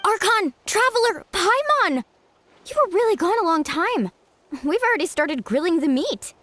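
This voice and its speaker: An actress playing an eager, energetic young woman who calls out greetings to returning friends. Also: very high voice, moderate pace, dry recording, heavily acted.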